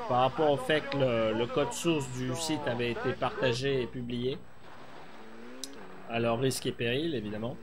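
A man's voice: medium pitch, slight background noise. A middle-aged man streaming a rally game from a small room, chatting casually and thinking aloud with many fillers. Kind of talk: live gameplay chat.

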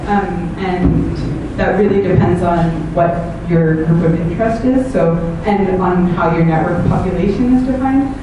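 Woman giving a research talk, lowish voice, lots of ums, echoey hall, far from the mic. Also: background noise. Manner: hesitant, many ums. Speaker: speaker. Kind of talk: academic talk with Q&A. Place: meetup venue hall.

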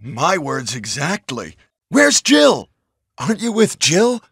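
A gallant young action hero from an old video game. His high male voice is intense, slow and stilted, ringing with long, heavy reverb. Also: wildly swooping pitch, gravelly, fairly bright tone, heavily acted. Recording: cavernous echo, long reverb.

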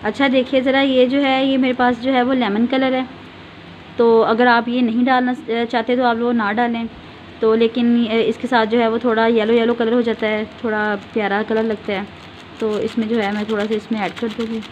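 A woman's voice: a little high, little pitch movement, normal speed, a little mellow, muffled recording, a little hiss or hum. A woman hosting a home cooking video, patiently walking viewers through each step and pointing out what to look at. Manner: patient, repeats fillers often, directs viewer to look. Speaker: home cooking channel host.

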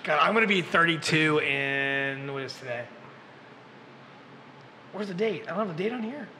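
A man's voice: on the high side, lively intonation, moderate pace, pushed and bright, in a large hall, slight background noise. A man in his early thirties, a podcast host who books music at a brewery, chatting loosely and joking with some profanity. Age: 30s. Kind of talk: casual chat. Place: brewery taproom. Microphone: desk mic on boom arm.

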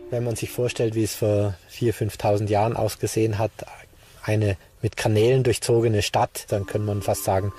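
A man with a lowish voice talks as an interviewed researcher. He explains off the cuff, feeling for his words, while music plays prominently behind him. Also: flat delivery, moderate pace, warm tone, slightly rough. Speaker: archaeological researcher, interviewed expert. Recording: music bed under the voice.

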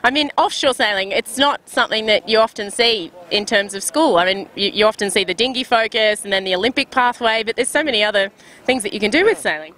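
A woman's voice: mid-range voice, fast talker, bright, forceful tone, rough recording. A woman interviewing outdoors at a city marina on a handheld mic, bubbly and upbeat, laughing often. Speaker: sailing interviewer. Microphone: handheld microphone.

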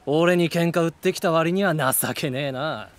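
A man acting a cocky, hot-tempered teenage brawler, with rough, sneering, slangy speech in a voice drama. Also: fairly high pitch, moderate pace, slight background noise, lightly acted. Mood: taunting.

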